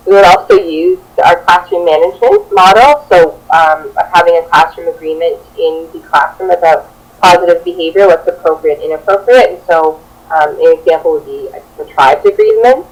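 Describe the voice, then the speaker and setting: A young woman with a slightly low voice presents as a student teacher. Her delivery is prepared and partly read, in the manner of a classroom project. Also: moderate pace, rough recording.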